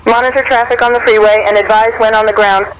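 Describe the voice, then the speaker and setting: A woman's voice: fairly high pitch, fairly even intonation, fast talker. A synthetic woman's voice playing an unruffled air traffic controller, giving formal instructions over a radio. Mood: composed, formal.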